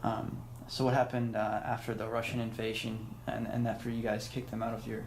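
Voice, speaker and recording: A man hosting an interview, asking questions in a slow, measured way. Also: fairly low pitch, completely flat delivery, a little mellow, a little hiss or hum, poor audio. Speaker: interviewer, host.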